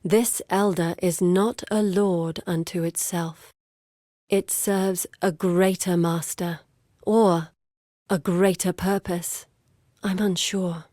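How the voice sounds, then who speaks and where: A woman playing an earnest, scholarly young daughter in a video game, speaking in a measured, formal, articulate way. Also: lowish voice, fairly even intonation, dark tone, no room sound, clean studio sound. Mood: serious, pondering. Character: earnest scholarly young woman, determined daughter seeking her lost father. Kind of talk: scripted video game character dialogue.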